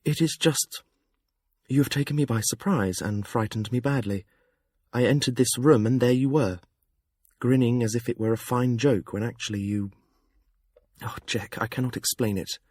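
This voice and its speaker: A man's voice: medium pitch, moderate pace, pauses often, a little mellow, voice pushed up, natural voice. A man narrating a storybook and voicing a lighter-toned disguised persona who sounds frightened and earnest. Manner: earnest, anxious.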